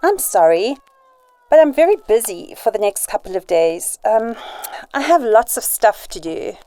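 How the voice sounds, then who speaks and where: A woman's voice: a little high, big pitch swings, moderate pace, mellow, dry recording. A woman acting a poised, flirtatious informant in an amateur radio drama, polite and coy. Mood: confiding.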